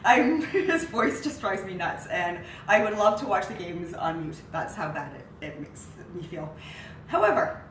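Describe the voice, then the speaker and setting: A woman's voice: lowish voice, moderate pace. Nasal-voiced woman with a cold. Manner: chatty, animated when excited. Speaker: co-host. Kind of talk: casual sports chat. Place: living room couch. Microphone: far camera mic. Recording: roomy, distant, hissy.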